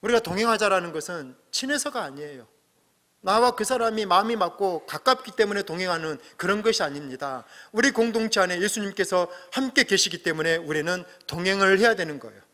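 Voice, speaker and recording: A middle-aged man preaching a sermon as pastor, earnest, swelling to emphatic peaks and dropping to soft asides. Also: high voice, expressive, a little fast. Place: church sanctuary. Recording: long hall reverb.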